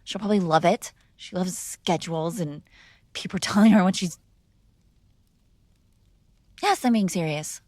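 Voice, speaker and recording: A woman's voice: on the low side, a little slow, pauses often, darkish tone, no echo, studio quality. A young woman performing an audio roleplay as a defiant, sarcastic mob boss's daughter arguing with her father. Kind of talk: scripted ASMR girlfriend roleplay.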